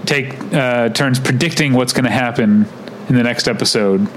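A man chats as a podcast co-host in a loose, unscripted style. His voice sits at a medium pitch and he often stops to correct himself. There is faint noise behind him. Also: moderate pace, low-quality recording. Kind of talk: casual unscripted podcast chat.

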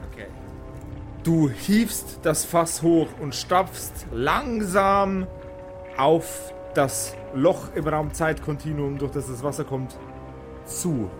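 A man's voice: fairly high pitch, slow pace, soft background music. A man running a tabletop game as game master, improvising narration for the players.

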